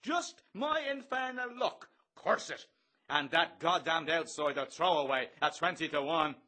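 A man's voice: on the high side, animated, a little slow, bright, forceful tone, heavily acted. A man playing a sadistic, domineering bully of a brothel boss, bombastic and gloating, sliding from wheedling to savage in a theatrical literary drama. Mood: angry bluster.

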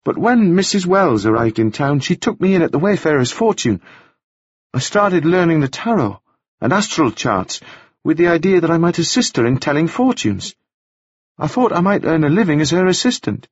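A terse young woman on trial answers in a lifted, slightly husky voice, as a male narrator puts her on. Her replies are short and plain. The recording is dry and a little muffled. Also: a little high, very animated, moderate pace, dry recording, pitched above the performer's own voice.

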